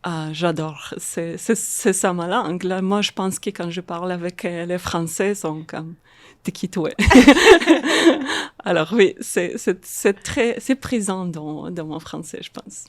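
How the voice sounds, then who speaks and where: A woman from Moldova, speaking French as a guest in a podcast interview, halting, with many restarts and fillers. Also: fairly low pitch, lively intonation. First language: Russian. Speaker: guest, social worker and art therapist.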